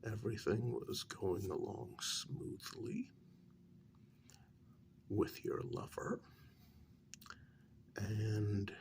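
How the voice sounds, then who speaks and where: A performer softly playing a patient, probing official in an ASMR role-play, speaking in a measured, gently reproachful half-whisper. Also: medium pitch, slight background noise. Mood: measured, sympathetic. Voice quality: soft, breathy half-whisper. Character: patient, probing official questioning a renegade vampire.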